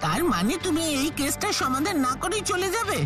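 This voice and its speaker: High, earnest questioning child. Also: female voice, very animated, fairly quick. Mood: incredulous. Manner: pleading, questioning. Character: earnest, inquisitive child sidekick. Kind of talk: Bengali cartoon dubbing. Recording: music behind the voice.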